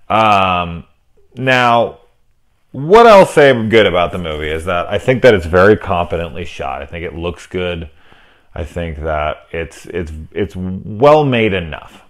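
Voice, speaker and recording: A man in his thirties with a low voice reviews a horror film straight to the camera from a small room lined with movie shelves. He talks loosely and candidly, swearing freely and restarting his sentences. The delivery is unscripted and conversational. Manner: candid and profane, with frequent fillers and restarts. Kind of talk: casual spoken film review.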